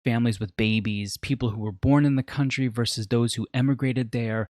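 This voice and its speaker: A man's voice: mid-range voice, fairly even intonation, a little fast, a little mellow, no echo, clean studio sound. A man narrating a scripted video essay as a media reviewer, telling the story in a dramatic, reflective way.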